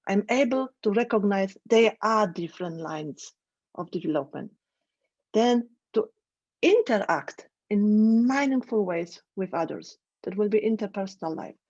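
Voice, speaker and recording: A woman with a Polish accent, a trainer giving a live online lecture, thoughtful and halting, often restarting her phrases. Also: mid-range voice, lively intonation, a little mellow, highs cut off. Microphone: video call.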